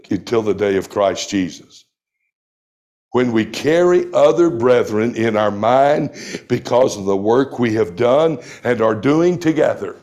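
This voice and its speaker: A man in his sixties preaching a sermon, reading scripture aloud with deliberate emphasis. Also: mid-range voice, a little mellow. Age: 60s. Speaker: pastor.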